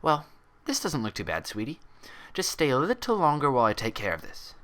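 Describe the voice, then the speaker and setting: A man's voice: mid-range voice, wildly swooping pitch, brisk, pushed and bright, pitched above the performer's own voice. A man voicing a stern female unicorn head nurse in a story reading, patronizing and controlled. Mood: syrupy sweet. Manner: patronizing endearments, cool professional tone.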